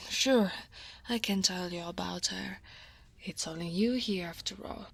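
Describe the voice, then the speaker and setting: A woman from Italy, speaking with an Italian accent, voicing a weary and guarded female dragon office coworker. She talks in a soft, tired half-whisper close to the mic in an ASMR roleplay. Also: lowish voice, a little slow, exaggerated voice. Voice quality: breathy.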